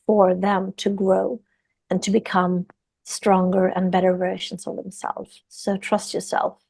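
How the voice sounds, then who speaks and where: A woman's voice: medium pitch, level tone, a little slow, gravelly, darkish tone, no echo. A woman from Sweden with a Swedish accent, a yoga teacher talking earnestly as a podcast guest and repeating phrases for emphasis. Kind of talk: podcast interview.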